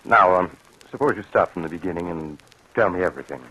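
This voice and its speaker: An actor playing a grave, kindly doctor who tells eerie tales in a measured, formal, ominous way, in 1940s radio drama style. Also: male voice, low voice, lively intonation, bright, forceful tone, breathy edge, dull audio, exaggerated voice. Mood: calm concern. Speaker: narrator and doctor. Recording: noisy vintage radio recording.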